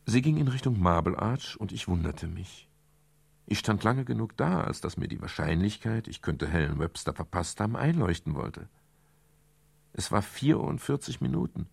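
An actor playing an urbane, wry private detective in a radio crime play, courteous and given to ornate, ironic digressions. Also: male voice, on the low side, sing-song, normal speed, gravelly, husky, dry recording, full character voice. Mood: matter-of-fact. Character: urbane, wry British private detective narrating his case. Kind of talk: scripted 1970s radio crime drama narration.

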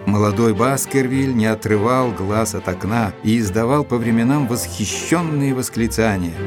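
An actor playing a loyal, composed gentleman companion who tells his story in a measured audio drama manner. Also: fairly low pitch, natural voice. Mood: composed, narrating. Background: music.

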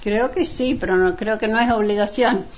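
A woman with an Argentine accent playing a neighbourly housewife hosting friends in an amateur radio drama, speaking haltingly and conversationally. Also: medium pitch, natural voice. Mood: neighbourly, chatty. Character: Selmira, neighbourly housewife hosting women for mate. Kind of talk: amateur community radio drama, semi-improvised chat. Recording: dull lo-fi, clearly noisy.

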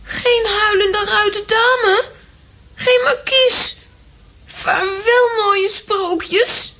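An actress playing an excitable, stubborn little girl in a children's audio drama, exclaiming dramatically. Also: very high voice, very slow, full character voice. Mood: upset, dramatic.

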